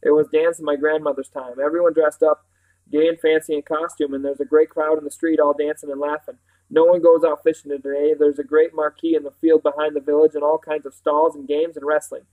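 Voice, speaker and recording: A man's voice: a little high, level tone, fairly quick, narrow, tinny audio, natural voice. Man playing a bustling, chatty village housekeeper. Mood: eager, chatty. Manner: chatty, rustic dialect turns of phrase. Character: bustling, fond, cheerful older village housekeeper woman.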